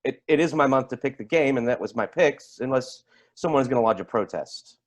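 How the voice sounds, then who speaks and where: An actor playing a bitter, sarcastic man in a staged play reading, needling others with halting repeats. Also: medium pitch, animated, natural voice. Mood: pointed, mock-cheerful. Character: bitter, sarcastic man feuding with his sister's partner.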